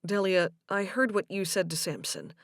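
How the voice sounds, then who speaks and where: A woman voicing an earnest, gentle man in love in audiobook dialogue, with a sincere, measured delivery. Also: mid-range voice, animated, dry recording, clean studio sound, natural voice. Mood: confessing.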